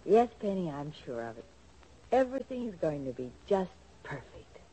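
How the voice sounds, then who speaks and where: An actress playing a gentle, affectionate, reassuring woman in a radio soap opera. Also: lowish voice, sing-song, moderate pace. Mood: tender. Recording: noisy old radio recording.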